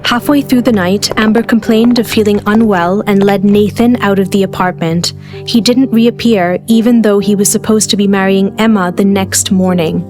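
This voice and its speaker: A woman's voice: mid-range voice, steady tone, moderate pace. Woman narrating drama over music.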